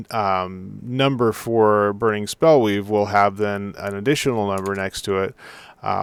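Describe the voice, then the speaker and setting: Brief: male developer, lowish voice, a little hoarse, dry close sound, halting tech walkthrough. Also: no echo. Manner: halting technical explanation, circling back mid-sentence. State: slightly hoarse. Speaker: game add-on developer. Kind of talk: screen-recorded software walkthrough.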